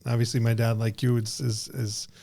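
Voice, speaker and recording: A man's voice: mid-range voice, flat delivery, normal speed, creaky, warm tone. A man hosting a casual podcast interview, encouraging his guest and laughing along. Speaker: podcast host.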